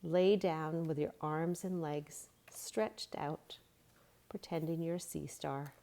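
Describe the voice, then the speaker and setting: A woman reading a storybook aloud as a children's yoga teacher, giving simple, gentle guiding instructions. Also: low voice, fairly even intonation, slow pace, vocal fry.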